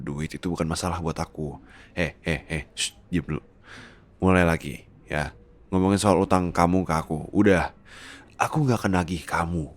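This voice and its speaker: A man playing a bossy, rich young boyfriend who is gruff yet doting and protective, in an ASMR roleplay. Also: deep voice. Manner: scolding, insistent, code-switching with English words.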